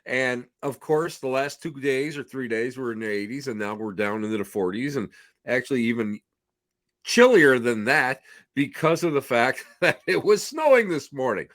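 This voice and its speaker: A middle-aged man with a slightly hoarse voice, chatting in a folksy, effusive, rambling way as he opens a talk show as its host. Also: mid-range voice, expressive, normal speed, fairly bright tone, no room sound, clean studio sound. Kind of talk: casual rambling show introduction.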